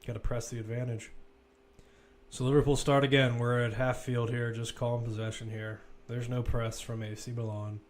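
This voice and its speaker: A man's voice: medium pitch, level tone, normal speed. A man giving live football play-by-play commentary, describing the action as it unfolds in short, clipped phrases. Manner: running present-tense description in clipped phrases.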